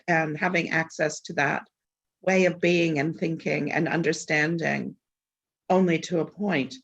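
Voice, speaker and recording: A woman's voice: deep voice, vocal fry. A middle-aged woman, a university academic, reflecting in an easy, musing way during a conversation. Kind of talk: conversational talk.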